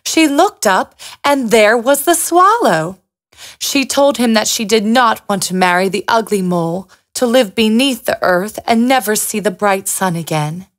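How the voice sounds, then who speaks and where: A woman's voice: mid-range voice, lively intonation, measured pace. A woman storyteller reading a scripted fairy tale for children.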